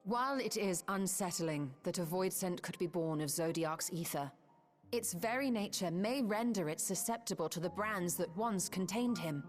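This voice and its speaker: An actress voicing a wise, composed sorceress in a video game, speaking in a measured, formal and assured way. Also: female voice, lowish voice, faint music behind, close to an everyday voice.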